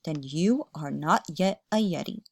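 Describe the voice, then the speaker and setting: A woman's voice: low voice, expressive, unhurried, vocal fry, darkish tone, no echo, full character voice. A woman voicing a huge, hearty, affectionate yeti father in a children's storybook. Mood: booming.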